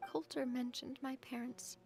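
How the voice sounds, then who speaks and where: A woman playing a young, vulnerable and sincere boss in a video game, speaking softly, hesitantly and reflectively. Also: sits high, fairly even intonation, moderate pace, gravelly, soft background music. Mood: melancholy, self-doubting. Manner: hesitant, soft-spoken, reflective.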